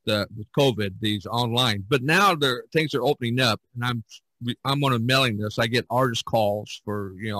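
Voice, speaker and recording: Man, bright forceful voice, rambling chatty podcast host, middling pitch, dry close sound. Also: no room sound, rough recording. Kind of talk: casual podcast discussion.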